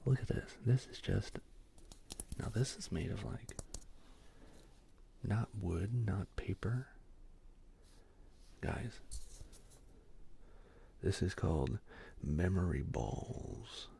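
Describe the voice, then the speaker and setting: A man hosting a casual, rambling show-and-tell in a soft, gravelly ASMR whisper, with slangy asides. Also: sits low, very animated, slow pace, pauses often, a little hiss or hum. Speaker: host.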